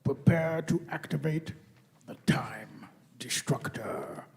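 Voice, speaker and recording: Man doing Dalek leader, cold, imperious, slow, gravelly, grating, sci-fi radio play. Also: mid-range voice. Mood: commanding. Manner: deliberate, imperious pronouncements. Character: cold, commanding male Dalek leader, alien machine.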